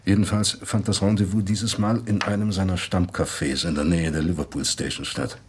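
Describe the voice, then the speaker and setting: A man playing a thoughtful acquaintance recalling a late friend in a measured, articulate radio drama monologue. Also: low-pitched, fairly even intonation, breathy edge. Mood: reminiscing.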